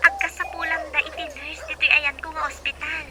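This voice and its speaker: An actress playing a helpful, friendly young nurse talking to a friend in a radio drama. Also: female voice, high-pitched, little pitch movement, brisk, natural voice. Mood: welcoming. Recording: very noisy, with ambient sound.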